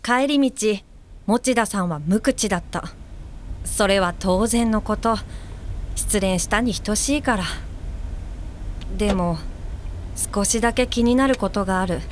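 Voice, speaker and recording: A woman's voice: mid-range voice, unhurried, pauses often, slight background noise, natural voice. A woman acting in an audio drama as an earnest, self-reflective guide helper, who also narrates in the first person. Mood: pensive, regretful. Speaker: lead actor and narrator.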